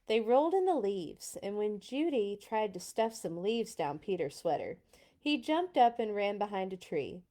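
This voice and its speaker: A woman narrating a children's storybook aloud in an expressive read-along style. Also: medium pitch, normal speed.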